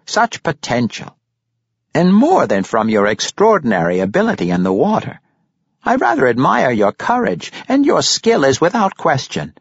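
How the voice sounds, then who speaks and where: A shrewd, amused old patriarch from a fantasy storybook, as a man acts him out, speaking with courtly flourish. The pitch is raised and extremely animated, and the recording is dry and slightly dull. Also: moderate pace, gravelly, slightly rough, no room sound, full character voice. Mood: coaxing, admiring. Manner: courtly, theatrical, amused, flowery phrasing. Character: jovial, shrewd, courtly older crime-boss grandfather.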